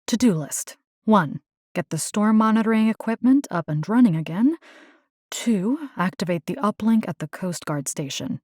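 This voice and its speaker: A woman's voice: medium pitch, darkish tone, no room sound, studio quality, lightly acted. An actress voicing a wry, determined, compassionate young woman who hunts monsters in a video game, speaking conversationally with muttered asides. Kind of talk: video game character voice lines.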